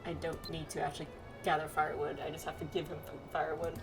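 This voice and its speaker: A woman's voice: deep voice, level tone, fast talker, over music. A woman chatting casually through a game playthrough and reading bits of game text aloud. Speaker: gamer playing through a story quest with friends.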